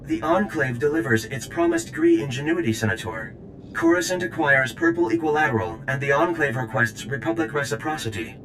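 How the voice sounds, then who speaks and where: Stilted, wildly swooping alien droid ambassador. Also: male voice, huge pitch swings, a little fast. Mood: gratified, ceremonious. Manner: stilted, odd geometric phrasing, formal. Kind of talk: video game character acting. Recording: clear rumbling noise behind.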